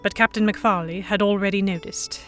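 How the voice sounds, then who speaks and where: A woman narrating a scripted first-person audio drama in an expressive, dramatic storytelling manner. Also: medium pitch, normal speed, soft background music.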